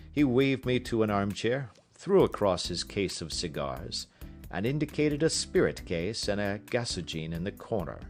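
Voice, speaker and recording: A man narrating an audiobook in an expressive literary style, with measured, careful phrasing. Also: lowish voice.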